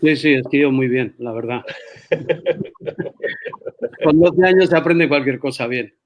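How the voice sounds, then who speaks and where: An older man from Spain, a guest in a casual interview, telling stories in a relaxed way with fillers like "pues" and "bueno". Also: a little high, slow, muffled recording. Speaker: guest, sports federation president.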